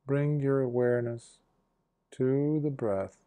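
Male meditation guide, mid-range pitch, relaxed and mellow, gentle delivery in short, spaced phrases. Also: clear, resonant tone. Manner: gentle, hushed instruction with long pauses between short phrases. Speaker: Buddhist monk leading guided meditation.

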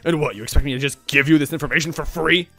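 A man voicing a huge, manipulative monster king in a story, with booming, slangy showmanship and a grumbling streak. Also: big pitch swings, brisk, over loud music. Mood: furious, booming. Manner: casual slangy speech with swaggering showmanship.

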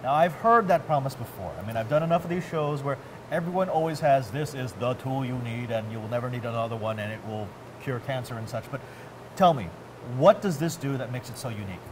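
A man's voice: mid-range voice, a little fast. A man in his thirties hosting a conversational tech interview in a casual, geeky, enthusiastic way. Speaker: host interviewing at a tech trade event. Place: large indoor warehouse staging space. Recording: clear background noise of a warehouse.

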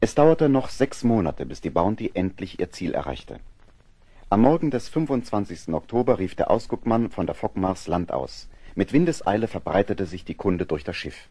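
An actor as the sober narrator of a sea adventure radio play, giving measured, scripted storytelling. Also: male voice, sits low, very animated, mellow, breathy edge, no echo, exaggerated voice.

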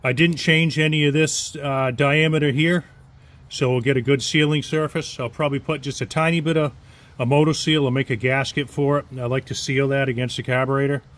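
A man's voice: mid-range voice, steady tone, brisk, fairly bright tone. A middle-aged man talking casually at his workbench, explaining each step in a plain-spoken way. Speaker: chainsaw modder. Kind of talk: casual workshop tutorial. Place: workshop bench indoors.

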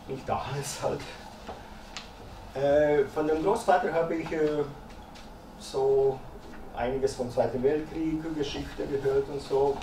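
A man's voice: a little high, dark tone. A man in his fifties from Croatia, speaking German as a second language with a Croatian accent, giving a hesitant lecture full of "äh" fillers. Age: 50s. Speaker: guest speaker. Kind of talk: lecture talk. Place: dim lecture salon with projector, small audience. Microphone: far room camera. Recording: echoey room, distant mic, faint noise.

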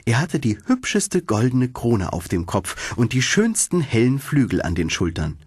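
A man narrating a fairy tale in an expressive storytelling manner, as for an audiobook. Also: mid-range voice, normal speed, slightly rough, dry recording, studio quality.

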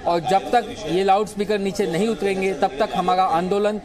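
A man reading a voice-over translation of a political statement with deliberate, emphatic phrasing. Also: high-pitched, little pitch movement, over a noisy crowd.

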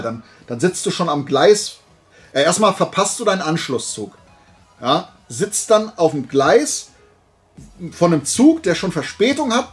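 A man with a husky, worn voice talks casually as a vlog host. He tells anecdotes in colloquial speech and slips into quoted dialogue. His throat sounds dry and tired from talking, at an ordinary male pitch. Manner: colloquial storyteller, acting out dialogue. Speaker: host of a flea market haul video.

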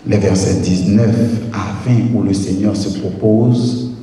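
A man preaching a deliberate, measured wedding sermon from a pulpit, holding a handheld microphone in a large church. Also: fairly low pitch, steady tone, dark tone, slight background noise. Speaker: pastor. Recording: echoey church hall from far off.

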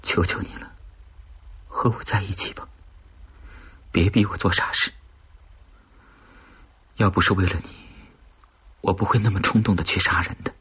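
A man voicing an obsessive, lovesick woman who pleads intensely in a breathy, whispery voice, in an audiobook. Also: low-pitched, steady tone, moderate pace, pauses often, a bit bright, muffled recording, exaggerated voice. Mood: pleading. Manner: imploring, intense.

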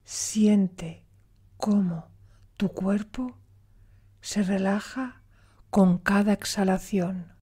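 Dark, mellow-voiced woman guiding meditation. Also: medium pitch, steady tone, husky. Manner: soothing, deliberate, with long pauses. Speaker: meditation guide. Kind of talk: guided meditation.

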